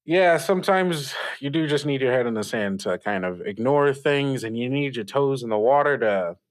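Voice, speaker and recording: A man's voice: mid-range voice, expressive, normal speed, close to an everyday voice. Man playing a hesitant young radio host. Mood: amused, awkward. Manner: hesitant, stammering, giggly, self-effacing. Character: hesitant, kindly young male late-night radio host, a kid. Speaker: radio call-in show host. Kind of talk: improvised call-in radio acting, casual.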